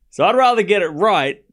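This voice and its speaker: Australian man chatting on a live stream. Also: high-pitched. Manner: relaxed and rambling, reads chat aloud and answers it, swears casually. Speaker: streamer doing live tennis commentary. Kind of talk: casual live-stream chat and play-by-play.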